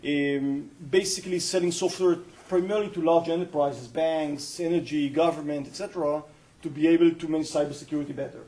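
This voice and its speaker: A middle-aged man with an Israeli accent giving a university guest lecture in an earnest, explanatory way, pausing often to find his words. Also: fairly high pitch, fairly even intonation, dark tone, poor audio. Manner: earnest, explanatory, with frequent hesitations. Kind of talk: university colloquium talk.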